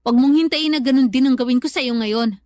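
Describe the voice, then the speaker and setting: A woman's voice: high-pitched, fast talker, darkish tone, dry recording, clean studio sound, close to an everyday voice. A woman playing a harsh, selfish mother in a Tagalog radio drama, scolding and domineering with a Filipino accent. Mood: cold, threatening. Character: harsh, selfish, money-minded mother scolding her grown child.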